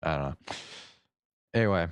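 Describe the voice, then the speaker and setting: A man livestreaming in a casual, halting, rambling way, swearing offhandedly as he chats. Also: low voice, expressive, clear, resonant tone, no room sound. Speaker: live streamer.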